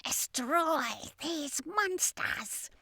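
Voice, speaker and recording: A woman voicing a male octopus-like alien commander in a slow, drawn-out, imperious way, in a story. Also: sits high, lively intonation, bright, forceful tone, no echo, voice pushed up, heavily acted. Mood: commanding.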